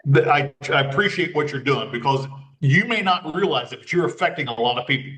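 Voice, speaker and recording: A man's voice: a little high, expressive, fairly quick, fairly bright tone. A man talking as a guest consultant in a casual interview, rambling and often restarting his sentences. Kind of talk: casual conversation. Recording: roomy sound, mic at a distance.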